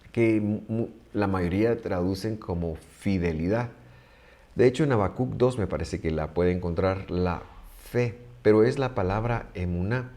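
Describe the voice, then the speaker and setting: A man who speaks Spanish as his first language, teaching a Bible study in a measured way with frequent pauses and restarts. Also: low-pitched, darkish tone.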